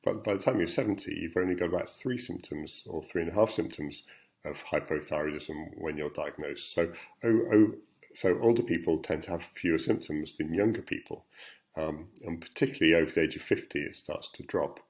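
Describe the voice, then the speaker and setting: A man's voice: sits low, a little fast, darkish tone. A man, a professor, giving a recorded talk to patients about study results, explaining slides plainly with frequent ums and uhs. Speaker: endocrinology professor.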